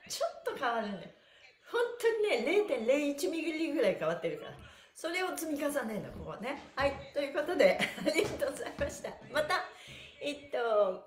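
A middle-aged woman hosting a live stretching class in an animated, cheerleading way, chatting with viewers as she instructs. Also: high voice. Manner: animated, cheerleading, frequent laughing. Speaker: stretching instructor and livestream host. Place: small bare-walled indoor room with wooden floor. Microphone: camera a metre or two away. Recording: echoey room.

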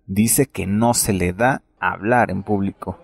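A man's voice: sits low, fairly even intonation, measured pace, gravelly. A man narrating a radio soap opera from a script.

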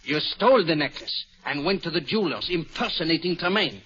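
An actor playing a fussy, clever Belgian detective with a French accent, in theatrical 1940s radio acting. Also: male voice, fairly high pitch, brisk, slightly rough, heavily acted. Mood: probing. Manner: theatrical, lively, coaxing and ironic. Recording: dull old radio broadcast.

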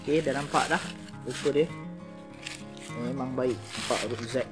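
A man's voice: mid-range voice, normal speed, music in the background. A Malaysian man in his thirties giving casual, slangy unboxing commentary, recorded outdoors at a table mic. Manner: informal, slangy Malay mixed with English words. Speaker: toy review vlogger. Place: outdoor garden patio of a house. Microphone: camera-style shotgun mic on small tripod at the table.

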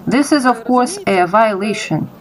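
A woman's voice: on the high side, expressive, measured pace, low-quality recording. A young adult woman from Kazakhstan giving informal, explanatory answers as an interviewee. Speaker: interviewee, project creator.

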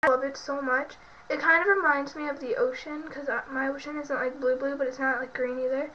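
A woman's voice: sits high, level tone, brisk, bright, forceful tone, a little hiss or hum. A young woman who is sick and sounds congested, chatting casually and rambling with lots of fillers in a bedroom, recorded on a webcam. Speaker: beauty vlogger. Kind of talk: casual product show-and-tell. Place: bedroom at home. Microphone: built-in webcam mic. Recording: muffled lo-fi webcam audio.

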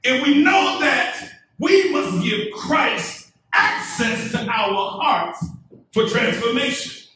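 High-voiced, slow-preaching pastor in echoey church. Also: male voice, very animated, pushed and bright. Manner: measured preaching with call-and-response and rising emphatic build-ups. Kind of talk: sermon. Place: church sanctuary, indoors, medium-sized. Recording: echoey church sanctuary from a distance.

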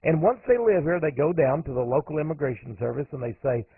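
High, lively radio host with husky voice. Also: male voice, fast talker, dry recording. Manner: emphatic, voice climbs sharply on stressed points. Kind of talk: radio monologue. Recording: narrow phone-like radio audio, dry.

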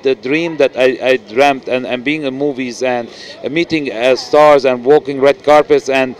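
A man's voice: medium pitch, steady tone, normal speed. A middle-aged man with a Lebanese accent, an actor speaking earnestly in an interview, with many restarts and fillers. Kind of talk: casual interview. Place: noisy indoor event venue. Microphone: handheld mic.

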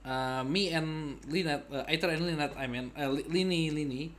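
A man doing casual game commentary, halting and repeating his words as he reacts as a streamer. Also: on the high side, fairly bright tone, slight background noise. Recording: game music playing behind. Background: game music and game dialogue.

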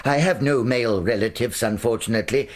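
An actor playing a fussy, forgetful elderly collector of fossils and insects in a radio drama, who talks in a flustered, dithering way. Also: male voice, mid-range voice, fairly quick, lightly acted. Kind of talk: BBC radio drama acting.

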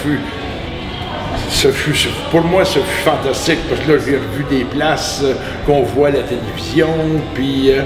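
A man's voice: medium pitch, unhurried. A man with a Quebec French accent casually sharing his personal recollections of a road trip. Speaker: trip participant. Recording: echoey, distant mic, music behind.

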